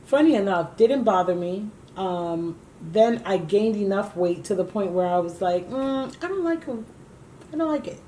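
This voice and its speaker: A woman's voice: mid-range voice, expressive, moderate pace, mellow, slight background noise, rough recording. A woman in her late thirties, co-hosting a casual chat and telling her own story candidly and with emphasis. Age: 30s. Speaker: co-host.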